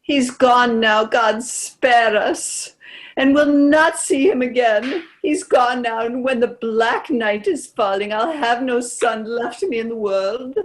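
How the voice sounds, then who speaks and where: A woman's voice: on the high side, slow pace. A woman playing a fretful, grieving old peasant mother in a stage play, plaintive. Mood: despairing. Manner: lamenting, plaintive. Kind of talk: stage drama read as virtual play.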